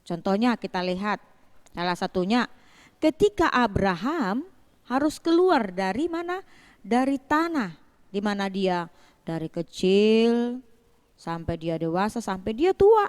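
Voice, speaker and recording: A woman telling a Bible story to children as a Sunday school teacher, in an animated way with rhetorical questions and repetition. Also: medium pitch, a little slow, long pauses, clear, resonant tone.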